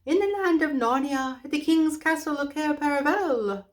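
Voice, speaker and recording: A woman voicing a big, fussy, sleepy male owl who hoots his words high and loud in a storybook reading. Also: normal speed, close to an everyday voice. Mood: fussy hooting. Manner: high hooting, loud and sing-song.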